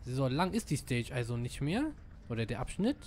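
A man giving casual live gameplay commentary, excitable and slangy, dropping in English gamer words. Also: medium pitch, normal speed, a little hiss or hum. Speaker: let's player.

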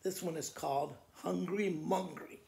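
A man in his sixties with a gravelly voice, reading children's poems aloud like a school principal to students, in a living room with the camera a little way off. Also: high-pitched, steady tone, measured pace, dark tone. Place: living room by a fireplace, indoors. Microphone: camera a short distance away. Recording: roomy indoor echo.

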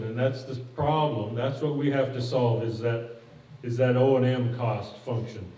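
A man's voice: medium pitch, warm tone. An older man speaking as a project manager at a public meeting, folksy and plainspoken, often restarting his phrases. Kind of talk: informal public presentation with audience questions. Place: stage of a hall with curtains. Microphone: podium mic, at a distance. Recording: echoey hall, mic at a distance, dull sound.

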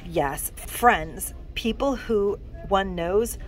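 A woman's voice: medium pitch, expressive, very slow, soft background music. A woman in her forties, chatting enthusiastically as she films herself shopping in a store on her phone. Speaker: shopping vlogger. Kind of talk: casual shop-with-me narration. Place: inside a discount store, walking the aisles. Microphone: handheld phone camera.

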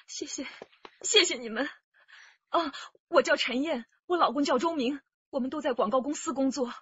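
An actress playing a shaken young wife, a crime victim, speaking haltingly in a radio drama. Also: female voice, high-pitched, fairly bright tone, no room sound, dull audio. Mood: weak, grateful. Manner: halting, hesitant.

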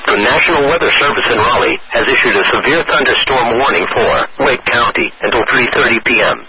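Automated male weather radio voice, formal and impersonal, muffled lo-fi broadcast with heavy noise. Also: medium pitch. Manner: synthetic, measured, impersonal. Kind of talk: formal emergency weather bulletin.

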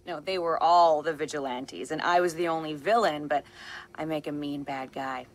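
A woman's voice: low-pitched, steady tone, normal speed, close to an everyday voice. A woman playing a worried, stubborn younger sister and young mother in a naturalistic video game scene, plain-spoken and conversational. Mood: amused, nostalgic. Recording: music under the voice.

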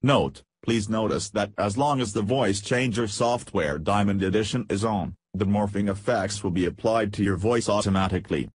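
A man narrating a scripted software tutorial in a flat, read-aloud instructional way. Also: on the low side, moderate pace, few pauses, husky.

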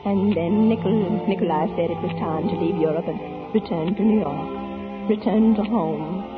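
A woman's voice: lowish voice, moderate pace, lightly acted. Weary female opera star, played by an actress, melancholy and wistful, 1940s radio drama with music under, narrow-band sound. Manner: subdued, wistful. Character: weary opera diva recalling her past, melancholy, listless. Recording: tinny 1940s radio broadcast, music behind.

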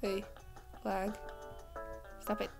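Young woman, casual game commentary, chatty muttered asides, unhurried, faint music behind. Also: mid-range voice, completely flat delivery, gravelly. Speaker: gaming video creator. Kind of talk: casual let's-play commentary.

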